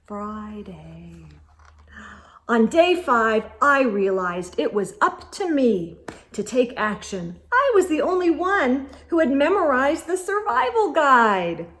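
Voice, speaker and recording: Female children's read-aloud host. Higher voice, very measured pace, expressive delivery with hushed asides to young listeners. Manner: expressive, gasps and whispered asides.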